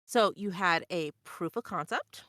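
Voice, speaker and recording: A woman playing a tabletop game over a video call, speaking in drawn-out phrases that trail off quietly. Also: lowish voice, no room sound, studio quality. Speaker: tabletop player. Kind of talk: in-character improv play over video call.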